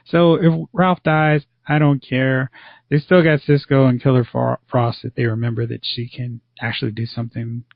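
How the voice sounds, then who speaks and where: A man's voice: medium pitch, little pitch movement, moderate pace, gravelly, no room sound. A man hosting a podcast in casual chat, opinionated and complaining with occasional sighs. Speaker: podcast host. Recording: dry, dull lo-fi audio.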